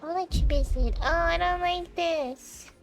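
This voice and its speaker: A woman's voice: very high voice, unhurried, vocal fry, faint music behind. A woman giving casual, playful live commentary on a game, chattily thinking aloud as she plays. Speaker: game streamer. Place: indoor livestream setup with game music behind the voice.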